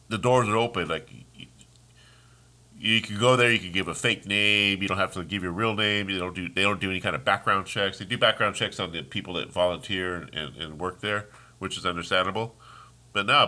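A congested man with a low voice and a very bright, forceful edge hosts his own podcast. He rants in a heated, rambling way, often breaking off and starting over. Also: fairly quick. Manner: heated, ranting, trails off and restarts. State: congested, sniffling. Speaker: podcast host. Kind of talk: casual solo podcast monologue.

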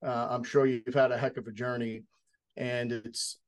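A man's voice: medium pitch, flat delivery, normal speed. A man hosting a podcast interview in an easygoing, folksy way. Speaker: podcast host.

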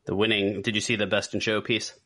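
A man's voice: lowish voice, flat delivery, fast, a bit bright. A man co-hosting a podcast, chatting casually. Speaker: podcast co-host. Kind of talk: casual chat.

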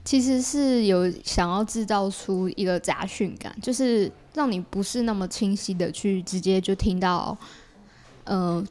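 A woman's voice: mid-range voice, a little slow, a little mellow. A woman with a Taiwanese Mandarin accent, explaining things conversationally as an interview guest in long, run-on sentences. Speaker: podcast guest, audio drama creator.

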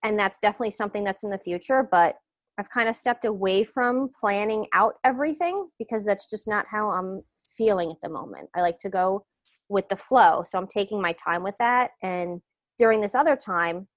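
A woman's voice: mid-range voice, normal speed, dry recording. New woman, coach guest, laid-back and rambling, dry close recording. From New York. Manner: relaxed, meandering, hesitant with fillers. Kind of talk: casual interview.

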